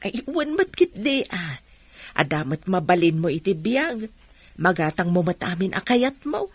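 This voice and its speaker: A consoling neighbor in an Ilocano radio drama. Her voice is lowish and husky with a Filipino Ilocano accent, recorded dry with faint music behind. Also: sing-song, unhurried, no echo, heavily acted. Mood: concerned.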